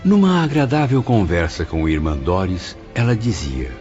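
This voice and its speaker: An actor playing a repentant male spirit who reflects solemnly on his afterlife in an audio drama, with measured literary phrasing. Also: low voice, big pitch swings, a little mellow. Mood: wondering. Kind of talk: audio drama acting and first-person narration. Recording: dull, band-limited audio under clear music.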